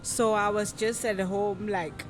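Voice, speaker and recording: A woman in her twenties, a podcast guest telling her own story in a casual, reflective way, often pausing to think aloud. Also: medium pitch, fairly even intonation, faint music behind, low-quality recording. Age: 20s. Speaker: podcast guest, modeling agency founder. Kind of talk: personal story in casual interview.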